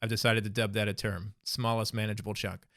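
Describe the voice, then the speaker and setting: A man's voice: lowish voice, level tone, a little fast, a bit bright, no room sound, clean studio sound. A man hosting a podcast, upbeat and affirming, paraphrasing his guest's answers back to him. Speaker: podcast host. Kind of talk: friendly interview, podcast outro.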